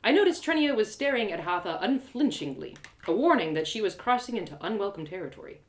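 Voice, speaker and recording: A woman storyteller giving an expressive live reading of a first-person fantasy audio drama, occasionally correcting herself. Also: medium pitch, fast.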